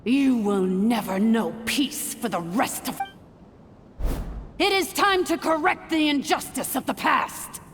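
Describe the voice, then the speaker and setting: An actress playing a vengeful, contemptuous ancient machine sovereign in a video game, speaking in grand, scornful phrases. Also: female voice, a little high, animated, slow, long reverb tail, soft background music. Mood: outraged fury. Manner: imperious, scornful, archaic grand speech. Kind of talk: dramatic video game voice acting.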